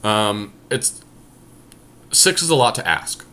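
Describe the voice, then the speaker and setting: A man co-hosting a podcast, casually talking through card-game deckbuilding and thinking aloud with hesitant pauses. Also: fairly low pitch, animated, bright, forceful tone. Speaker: podcast co-host.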